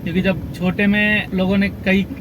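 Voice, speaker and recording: A man's voice: high-pitched, level tone, normal speed, noisy background. A young man from Bihar, a taxi driver, chatting through his day's rides and fares in a casual vlog from inside his car. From Bihar, India. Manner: chatty, running through fares and waits in detail. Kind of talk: casual driving vlog talk. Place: in a car, city traffic.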